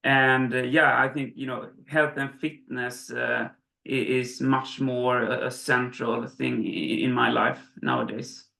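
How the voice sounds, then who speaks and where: A man's voice: medium pitch, flat delivery, measured pace, creaky, bright, forceful tone. A man from Sweden talking as an interview guest, hesitant, with many restarts and fillers. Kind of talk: casual interview. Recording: roomy echo.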